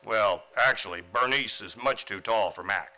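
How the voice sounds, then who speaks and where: A man's voice: on the low side, moderate pace, sharp tone. An actor playing an earnest, naive, mild-mannered male schoolteacher in a comedic radio play. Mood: earnest, amused.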